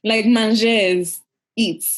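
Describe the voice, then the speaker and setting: A young woman, a podcast guest, chatting in an animated, giggly way and peppering her speech with "like". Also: mid-range voice, slow pace. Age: young adult. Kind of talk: casual interview chat.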